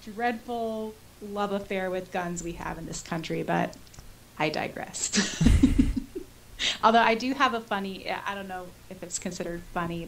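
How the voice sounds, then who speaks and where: A woman in her thirties with an American accent, co-hosting a podcast in a casual, animated, chatty way. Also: mid-range voice, low-quality recording. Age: 30s. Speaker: podcast co-host. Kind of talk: casual chat, reading out and reacting to listener comments. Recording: clear background noise with hiss.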